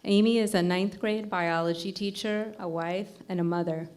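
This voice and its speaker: A woman's voice: mid-range voice, steady tone, normal speed, a little mellow. A woman surgeon giving an upbeat, slightly scripted introduction of the next speaker at an event.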